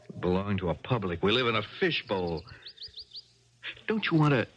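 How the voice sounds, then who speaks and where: An actor playing an earnest, hesitant young college athlete in love, in a 1970s radio drama. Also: male voice, on the low side, very animated, normal speed, a bit bright, slight background noise. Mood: pleading, frustrated. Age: young adult. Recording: dull archival 1970s radio broadcast.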